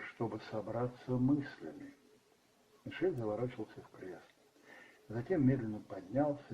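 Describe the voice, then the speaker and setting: Male narrator for radio drama: theatrical and brooding, mid-pitched, slightly dull sound over music. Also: a little hiss or hum.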